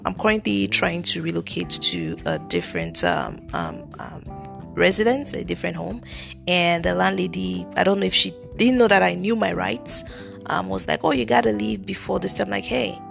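A woman's voice: on the low side, creaky. A woman with a thick Nigerian accent, hosting her own podcast in a chatty, earnest way, with frequent ums and restarts. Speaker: podcast host, immigration consultant. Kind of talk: casual solo podcast talk.

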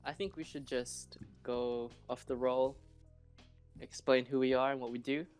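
A man's voice: medium pitch, fairly even intonation, a little slow, clean tone, soft background music. A man in his twenties co-hosting a casual podcast chat, talking in laid-back slang. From Philippines. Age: 20s. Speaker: podcast co-host.

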